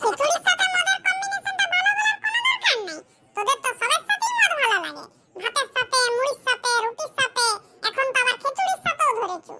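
A performer voicing a nagging, quarrelsome cartoon villager with a squeaky, pitch-shifted voice and a rural West Bengal accent. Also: very animated, quick pace, loud music behind the voice. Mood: indignant. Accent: rural western West Bengal. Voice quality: squeaky, artificially high-pitched. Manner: rustic, quarrelsome, blunt. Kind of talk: comic cartoon dialogue.

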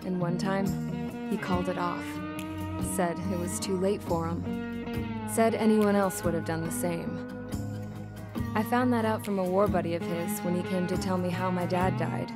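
Young female convict mechanic, lowish voice, sardonic and world-weary game narration over loud music. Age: young adult. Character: jaded young female mechanic imprisoned in a penal unit. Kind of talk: scripted dramatic monologue narration. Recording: dramatic music loud behind.

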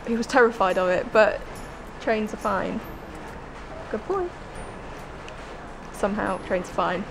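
A woman's voice: medium pitch, measured pace, gravelly, noisy background. A woman in her twenties with an English accent, chatting casually in a vlog on a handheld camera. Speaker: vlogger and dog handler. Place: kitchen, train and station platform. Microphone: handheld camera at arm's length.

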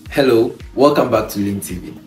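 A man's voice: mid-range voice, a little fast. A young man hosting a show, giving a polite, formal scripted introduction from a couch. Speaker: channel host. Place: living room, on a couch. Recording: distant, roomy, faint music.

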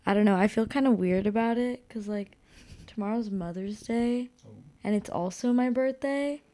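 A woman's voice: on the high side, normal speed, warm tone, no echo. A young woman co-hosting a podcast from a hotel room, talking earnestly and reflectively, sometimes searching for words. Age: young adult. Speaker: podcast co-host. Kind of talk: casual chat. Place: hotel room, seated on bed.

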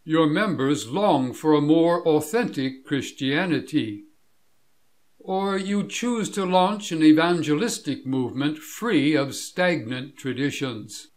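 Man, somewhat high pitch, very slow pace, deliberate scripted narration, measured delivery. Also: animated.

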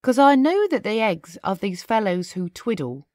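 Animated woman reading a children's storybook. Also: mid-range voice, no echo, studio quality. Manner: animated, deliberate, playful storytelling. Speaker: storybook narrator. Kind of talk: expressive children's read-aloud narration of rhyming verse.

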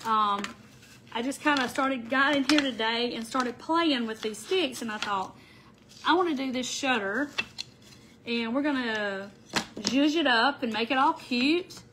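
A woman's voice: a little high, measured pace, noisy background. A middle-aged woman hosting a casual craft livestream from an indoor craft room, sounding nasal from a cold and greeting viewers by name. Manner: chatty, greets viewers by name as she goes. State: stuffy nose from a summer cold. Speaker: craft show host. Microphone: camera on the table in front of her.

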